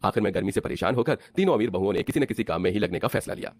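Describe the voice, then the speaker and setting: A man's voice: lowish voice, lively intonation, very fast, darkish tone, no room sound. A man narrating a scripted cartoon family story as the storyteller.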